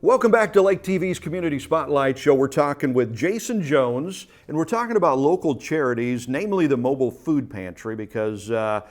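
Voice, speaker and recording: A man's voice: medium pitch, expressive, brisk, few pauses. A man hosting a local community talk show, asking guests questions in a conversational way.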